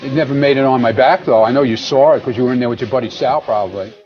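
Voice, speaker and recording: A man's voice: medium pitch, quick pace, highs cut off, a little hiss or hum. An irritable, combative man arguing off the cuff with plenty of profanity. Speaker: show staff member being teased.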